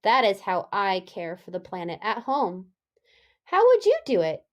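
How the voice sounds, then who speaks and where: A woman elementary school teacher giving a read-aloud lesson for young children, animated and deliberate, often putting questions to them. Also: medium pitch.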